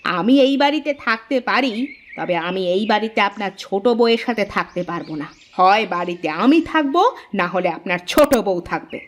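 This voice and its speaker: A woman's voice: medium pitch, lively intonation, a little fast, slight background noise, lightly acted. A woman voicing a scheming, spiteful elder daughter-in-law in a cartoon, with an insinuating, wheedling manner. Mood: demanding. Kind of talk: cartoon family drama acting.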